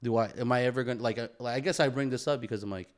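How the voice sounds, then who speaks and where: A man's voice: mid-range voice, racing. A young man chatting casually on a podcast, rambling and swearing, with plenty of bro and dude. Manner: slangy, profane, rambling, says bro and dude often. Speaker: podcast co-host.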